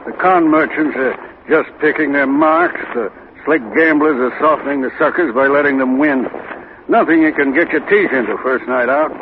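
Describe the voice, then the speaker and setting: A man's voice: a little high, normal speed, natural voice. Guy doing a portly obliging american ship cop, muttering slangy talk, old radio drama, tinny with hiss. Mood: genial, chatty. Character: portly, middle-aged, chatty, obliging ship's detective. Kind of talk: 1950s radio drama acting. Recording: noisy, tinny old 1950 radio recording.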